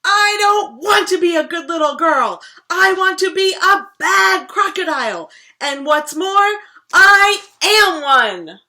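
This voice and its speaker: Storybook read-aloud, a defiant and grumpy crocodile who yells in a raised, bright, high voice and bursts with loud, lively swings of pitch, as a woman puts him on. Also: slow, exaggerated voice. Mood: defiant yelling. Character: grumpy crocodile disguised as a princess.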